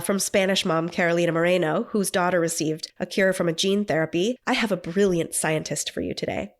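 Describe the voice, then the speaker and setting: A woman hosting a podcast, enthusiastic and gushing as she introduces and welcomes her guest. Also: lowish voice. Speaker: podcast host.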